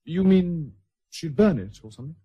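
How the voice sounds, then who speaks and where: A young, well-born British nephew in a period radio play. His voice is very breathy and rough-edged, with a soft, dark tone and lively swings in pitch. He is polite but disarmingly frank. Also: moderate pace. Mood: wary, curious. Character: candid, dutiful young aristocratic nephew, a schoolboy. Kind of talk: period radio drama acting.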